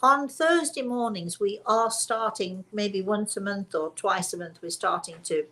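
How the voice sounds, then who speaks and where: Deliberate woman hosting a spiritual talk. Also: mid-range voice, fairly bright tone. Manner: deliberate, repeats and rephrases for emphasis. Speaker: host and spiritual teacher. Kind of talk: casual spiritual talk with questions and storytelling.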